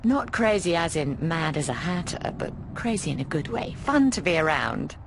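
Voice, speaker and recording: An actress voicing a breezy, affectionate, bawdy landlady in a video game, chatting in an expressive, teasing way. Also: sits low, moderate pace, background noise. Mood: chatty, wry. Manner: expressive, teasing, calls people darling. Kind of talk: conversational video game voice acting.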